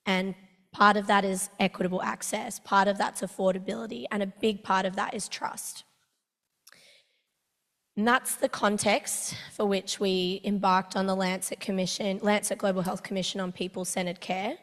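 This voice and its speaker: A woman physician and researcher speaking on a conference panel, hoarse from losing her voice, earnest and energetic with self-deprecating asides. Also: medium pitch, moderate pace. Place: large conference hall. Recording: long hall reverb.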